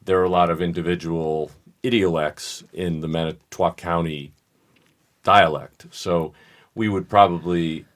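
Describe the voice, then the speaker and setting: Very deep-voiced man explaining. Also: steady tone. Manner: measured, explanatory, thinks aloud. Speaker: podcast co-host. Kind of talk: casual analytical discussion.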